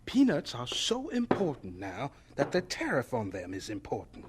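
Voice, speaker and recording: An actor playing a spirited, stubborn elderly scientist with a thin, husky, halting voice in a radio drama. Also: male voice, mid-range voice, noisy background. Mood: earnest, excited. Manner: animated, halting, teacherly. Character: spirited, stubborn elderly male scientist, cheerfully absent-minded.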